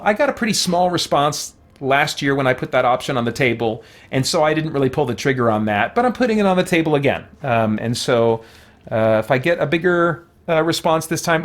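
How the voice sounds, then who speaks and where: A man streaming a game with casual, informal commentary, thinking aloud and chatting with his viewers in a rambling way. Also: fairly high pitch. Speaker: game streamer and YouTuber, host. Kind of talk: casual livestream commentary while gaming, reading and answering chat.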